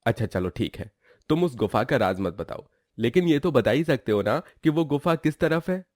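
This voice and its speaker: A man's voice: mid-range voice, expressive, moderate pace, a little mellow, dry recording, studio quality, close to an everyday voice. Man playing a lazy, scheming young woodcutter. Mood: sly, demanding. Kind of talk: Hindi cartoon story voice acting.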